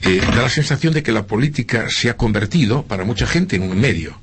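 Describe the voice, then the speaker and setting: Gravelly, husky Spanish man, earnest. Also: mid-range voice, animated, brisk. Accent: Spanish, with a slight Argentine lilt. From Spain. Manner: earnest, reflective, with frequent hesitations and repeats. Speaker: local politician interviewee. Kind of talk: interview conversation.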